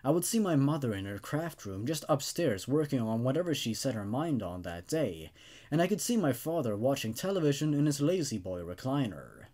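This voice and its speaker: Man narrating horror with widely varied pitch. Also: very animated, a little fast, mellow. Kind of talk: scripted first-person horror narration.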